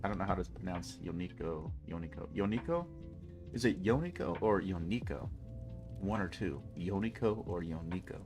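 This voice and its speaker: A man's voice: deep voice, lively intonation, normal speed, music in the background. A man with a stuffy nose chatting casually and rambling jokily with his chat while streaming a game. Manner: rambling, jokey, talks to chat.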